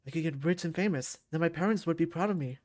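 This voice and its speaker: A woman's voice: sits low, quick pace, darkish tone, no echo, voice pushed down. A woman voicing a brooding, guilt-ridden young male fighter in a visual novel, subdued, introspective and bitterly wry. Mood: bitter, wistful.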